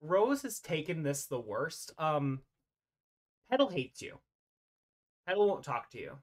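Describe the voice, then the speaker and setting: A game master narrating an improvised tabletop roleplay over an online voice call, often pausing mid-sentence. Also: mid-range voice, sing-song.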